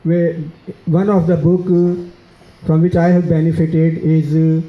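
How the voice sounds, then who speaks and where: A man with a Pakistani accent answering audience questions at length, halting often with restarts and fillers. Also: a little high, level tone. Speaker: guest speaker, author. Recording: roomy, dull lo-fi sound.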